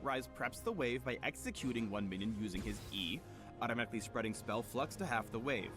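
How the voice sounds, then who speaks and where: A man's voice: medium pitch, lively intonation, a little fast. A man narrating a gameplay spotlight from a script in a playful, wry way. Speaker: game tutorial narrator. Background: music.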